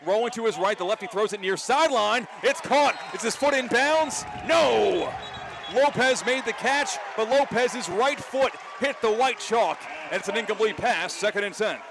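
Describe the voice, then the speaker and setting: An American man calling live football play-by-play, descriptive and animated, from an outdoor stadium. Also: sits high, normal speed, vocal fry. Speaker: play-by-play announcer. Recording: live stadium broadcast with loud background noise. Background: loud stadium noise.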